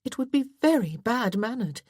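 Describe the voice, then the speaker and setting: A woman acts out a well-mannered wife in a period romance audiobook, earnest and pleading. The voice is lifted above her narration, with a husky grain and a dry, close sound. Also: medium pitch, lively intonation, normal speed, darkish tone, no echo, pitched above the performer's own voice. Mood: earnest protest.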